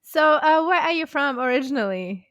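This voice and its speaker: A woman hosting a podcast about moving abroad, with German as her first language. Her voice sits somewhat high and the recording is dry and close. She talks casually and hesitates a lot, restarting questions and filling gaps with "um" and "like.". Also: animated, normal speed, fairly bright tone, no room sound. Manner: hesitant, with many fillers and restarts. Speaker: podcast host. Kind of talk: casual interview chat.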